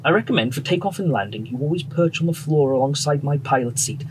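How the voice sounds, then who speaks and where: Brisk British bomber skipper, played by a man, engine noise. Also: a little high, expressive, close to an everyday voice. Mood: genial, welcoming. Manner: brisk, reassuring, polite officer. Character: friendly, assured British wartime bomber pilot skipper. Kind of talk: period radio drama acting. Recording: noisy aircraft engine background.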